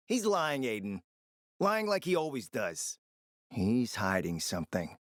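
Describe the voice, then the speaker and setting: A shrewd, foul-mouthed operator in a ruined-city video game. His delivery is lively and swings in pitch, conspiratorial and emphatic with a sardonic edge. The sound is dry and studio-tight. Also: a little slow, dry recording. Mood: wary, insistent. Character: scheming, foul-mouthed post-apocalyptic city operator, confident and calculating. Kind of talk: dramatic video game dialogue.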